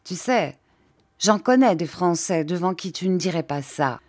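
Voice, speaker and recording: A woman's voice: on the low side, lively intonation, unhurried, darkish tone. A woman voicing an indignant young woman who hurls defiance at an officer in a story reading. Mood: bitter. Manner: vehement, angry.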